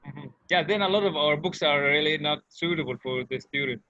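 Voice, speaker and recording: A man's voice: a little high, a little fast, pushed and bright, no echo. A man acting as interviewer, chatting casually, with a slightly lo-fi recording. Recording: dull lo-fi sound, dry.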